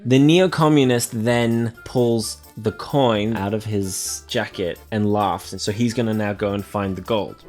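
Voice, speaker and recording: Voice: mid-range voice, fairly even intonation, measured pace, few pauses, darkish tone, soft background music. A film review co-host casually recapping a movie scene by scene in a wry, joking way.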